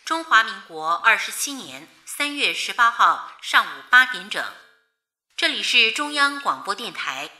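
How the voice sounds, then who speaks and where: A woman's voice: fairly high pitch, sing-song, measured pace, bright, forceful tone, heavily acted. An actress playing a formal woman announcer at a 1930s radio station, declaiming in old-time style. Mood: formal, proud.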